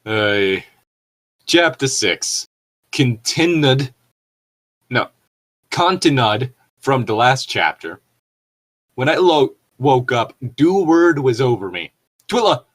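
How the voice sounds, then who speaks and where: A man's voice: medium pitch, big pitch swings, takes it slowly, pauses often, fairly bright tone. A man co-hosting a comedic fan fiction reading, chatting casually with explanatory asides and some swearing. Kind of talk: casual riffing and dramatic reading.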